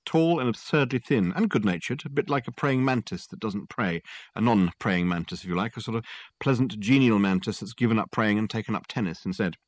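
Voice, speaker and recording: A man's voice: fairly low pitch, lively intonation, a little fast, no room sound, clean studio sound. A man voicing an urbane, eccentric male detective who talks in an airy, precise way.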